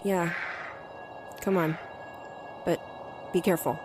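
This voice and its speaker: Tough young woman, low voice, sardonic, blunt, audio drama narrator, music underneath. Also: steady tone, slow. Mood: wry, fond. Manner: wry, blunt, foul-mouthed. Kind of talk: audio drama dialogue and first-person narration.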